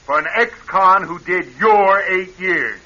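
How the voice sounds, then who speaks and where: An actor playing a resentful, weary ex-convict in a radio crime drama, talking in a terse, sardonic way. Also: male voice, sits high, slow pace, sharp tone, exaggerated voice. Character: bitter hard-bitten male ex-convict, resentful and weary. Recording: dull, hissy old radio recording.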